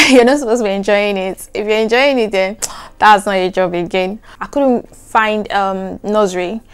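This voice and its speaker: A young adult woman with a soft, soothing voice, chatting to camera in an animated, emphatic way. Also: medium pitch, normal speed, clean tone. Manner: animated, emphatic, repeats "trust me". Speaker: vlogger. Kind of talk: casual chat to camera.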